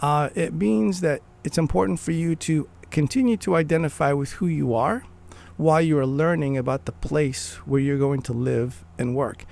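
Gravelly man in earnest conversation. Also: a little high, moderate pace, darkish tone. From Morocco. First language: Arabic. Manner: earnest, restarts phrases while thinking. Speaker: guest. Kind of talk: conversational interview.

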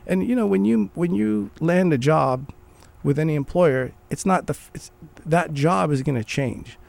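Gravelly man in earnest conversation. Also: fairly high pitch, normal speed, a little mellow. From Morocco. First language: Arabic. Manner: earnest, restarts phrases while thinking. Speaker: guest. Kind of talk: conversational interview.

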